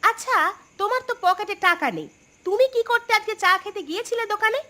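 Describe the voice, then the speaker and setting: A woman voicing a sharp-tongued but caring village housewife in a Bengali folk-tale cartoon, with theatrical expression. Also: extremely high pitch, fast. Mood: annoyed.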